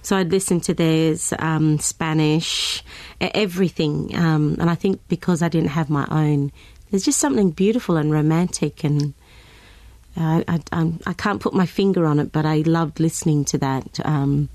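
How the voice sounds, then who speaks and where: A woman's voice: deep voice, normal speed, darkish tone, dry recording. An Australian woman, a guest singer, chatting in an interview, hesitant, with many ums and restarts. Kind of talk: casual interview chat.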